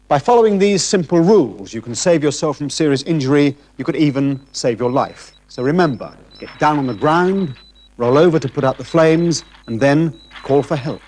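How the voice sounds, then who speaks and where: A man giving measured, serious, scripted instruction as narrator of a 1970s television public information film. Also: a little high, expressive, a little mellow.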